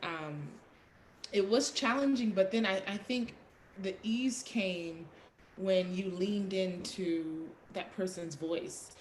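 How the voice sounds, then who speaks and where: A woman's voice: mid-range voice, slow. A woman who is a theatre actor, chatting casually on a panel. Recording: echoey room with clear background noise.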